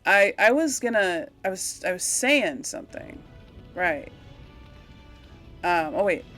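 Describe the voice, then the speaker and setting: Slow-paced woman thinking aloud while gaming. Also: mid-range voice, expressive, fairly bright tone, faint music behind. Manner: absent-minded, thinking aloud. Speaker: gamer hosting a role-play playthrough series. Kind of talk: casual rambling game commentary.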